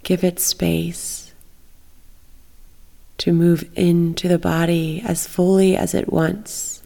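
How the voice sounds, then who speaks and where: A woman leading a guided bedtime meditation, speaking in a soft, soothing, unhurried manner with long pauses. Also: on the low side, level tone, gravelly. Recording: long reverberant echo.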